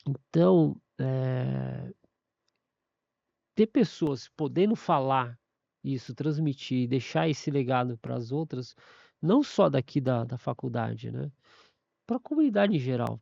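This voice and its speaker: A man's voice: medium pitch, creaky, warm tone, dry recording, highs cut off. A man, a university staff member giving a casual testimony, often stumbling, restarting and repeating words.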